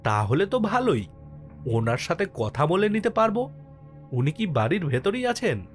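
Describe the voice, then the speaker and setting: A man playing an easygoing village postmaster who narrates his own ghost story in an expressive, dramatic way for a horror cartoon. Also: a little high, normal speed. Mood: pleased, curious. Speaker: first-person narrator and lead character. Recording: music under the voice.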